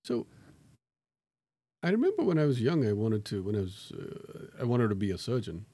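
A man's voice: fairly low pitch, wildly swooping pitch, moderate pace, dark tone, no echo. A middle-aged man, a professor, talking in a thoughtful, self-deprecating way in a conversational interview, with many fillers and restarts. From born in Tanzania to Indian parents.

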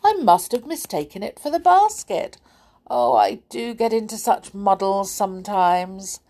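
A woman's voice: medium pitch, lively intonation, unhurried, vocal fry, mellow, pitched above the performer's own voice. A woman voicing a fussy, muddled little male goblin in a children's storybook, talking in a flustered, dithering way.

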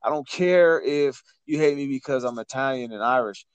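A man's voice: mid-range voice, moderate pace, clear, resonant tone, dry recording. Blunt, cursing male podcast host. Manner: blunt, repetitive, profane. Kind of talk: casual podcast chat.

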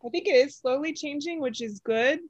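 A woman who calls her voice low for a woman, though it sits a bit high here, rambles in an animated, chatty way. The sound is dry and close to the mic. The recording is dull and lo-fi. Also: fairly quick, no room sound. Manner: animated, chatty, many fillers and laughs. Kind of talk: casual podcast chat.

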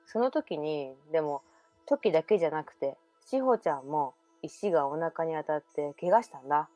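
Woman acting a low-voiced girl revealing a past life, confiding tone, dry close sound. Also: lively intonation, moderate pace, mellow, no room sound, faint music behind. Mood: mysterious. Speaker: radio drama performer. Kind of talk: amateur radio drama acting.

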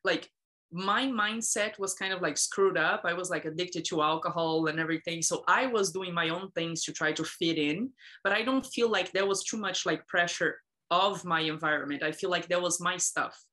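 A woman's voice: lowish voice, moderate pace, bright, forceful tone. A woman from Brazil in her thirties, chatting casually with many fillers and frequent laughs. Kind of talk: casual conversation.

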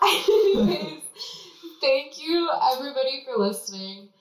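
Slow-talking congested woman host. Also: high-pitched, very animated. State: congested from a cold. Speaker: podcast host. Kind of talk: casual podcast outro. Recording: echoey room, from far off, faint music.

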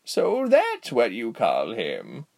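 A man voicing a cheerful, giggly elderly village healer woman in a storybook, with a slow, kindly teasing delivery. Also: mid-range voice, wildly swooping pitch, creaky, darkish tone, heavily acted. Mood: amused, cheerful. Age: older. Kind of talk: storybook character voice.

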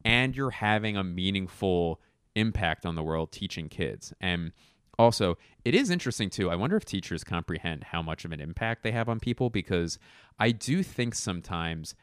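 A young adult man rambling through a casual solo chat, self-mocking and sure of himself, with quick asides and restarts. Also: low voice, lively intonation, smooth, no echo, studio quality.

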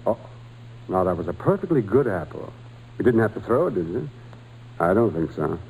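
An actor playing a kindly, patient religious brother at a boys' school, gentle and hesitant, in an old-time radio drama. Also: male voice, deep voice, very animated, normal speed, gravelly, breathy edge, exaggerated voice, poor audio. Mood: gentle coaxing.

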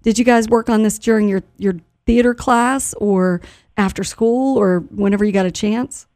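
A woman's voice: mid-range voice, fairly even intonation, moderate pace, a little mellow, no echo, clean studio sound. A woman hosting a radio show, chatting with guests in a casual interview and reacting as she goes. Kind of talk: live interview, casual chat.

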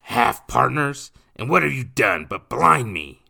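A man voicing a menacing criminal stepfather who talks in gruff, ungrammatical street slang. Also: on the low side, sing-song, moderate pace, pushed and bright, pitched above the performer's own voice, full character voice. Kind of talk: audiobook character voice.

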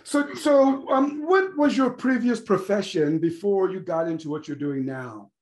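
A man's voice: high voice, measured pace, a little mellow. A man hosting a podcast, asking friendly, rambling questions. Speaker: podcast host. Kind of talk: podcast interview.

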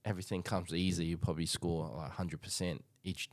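A man's voice: low voice, flat delivery, a little fast, no echo. A young man from Taiwan chatting on a podcast in a thoughtful, rambling way with lots of hedges. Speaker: podcast co-host. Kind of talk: casual chat.

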